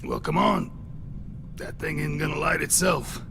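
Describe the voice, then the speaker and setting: An actor playing a wounded middle-aged raider held captive in a video game, with a gravelly voice and a taunting, profane, menacing manner. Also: male voice, fairly high pitch, measured pace, noisy background. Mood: sly, weary.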